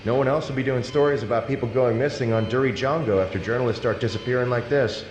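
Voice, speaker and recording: An actor playing a matter-of-fact lab technician in a sinister video game facility. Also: male voice, medium pitch, fast talker, lightly acted. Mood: musing. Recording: long echoing reverb, faint music behind.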